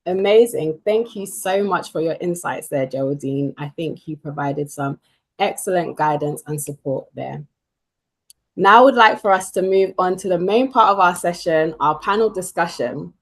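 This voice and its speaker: Husky-voiced woman hosting a panel, warm affirming tone. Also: on the low side, moderate pace. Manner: bright, affirming. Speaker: webinar moderator.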